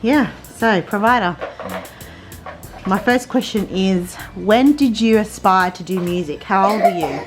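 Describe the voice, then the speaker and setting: A woman's voice: mid-range voice, measured pace, music in the background. A woman hosting an interview, asking short, friendly questions. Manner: friendly questioning, brief prompts. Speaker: interview host. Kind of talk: casual interview.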